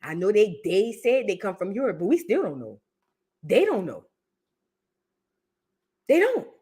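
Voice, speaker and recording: A woman hosts a casual reaction stream, talking loudly and with emphasis, repeating her punchlines and calling on viewers by name. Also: medium pitch, big pitch swings, unhurried, husky. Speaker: livestream host reacting to history content.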